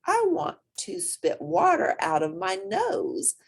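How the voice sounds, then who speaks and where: An eager little boy hippo from a children's storybook, performed by a woman. The voice jumps high and swoops dramatically. He sounds curious, polite and boastful, sure he can become anything. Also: huge pitch swings, takes it slowly, vocal fry, breathy edge, heavily acted. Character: eager, determined young male hippo, curious and proud.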